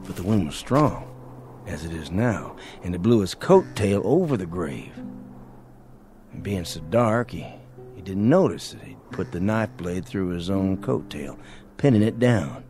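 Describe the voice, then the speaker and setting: An actor in a radio drama playing an earnest frontier man who explains things deliberately, with dramatic pauses. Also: sits low, very animated, mellow, heavily acted. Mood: grave, explaining. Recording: music bed under the dialogue.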